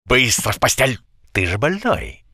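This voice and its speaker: A man's voice: medium pitch, big pitch swings, unhurried, bright, forceful tone, breathy edge, dry recording, clean studio sound, exaggerated voice. A man voicing a sensible, wryly stern brown bear in a cartoon, speaking in an admonishing way. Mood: wry, firm. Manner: wry, admonishing. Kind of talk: cartoon voice acting. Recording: music under the voice.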